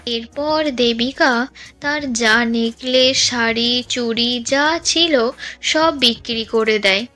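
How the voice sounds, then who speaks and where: A woman narrating a scripted cartoon story for children in a gentle storytelling manner. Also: fairly high pitch, slow pace.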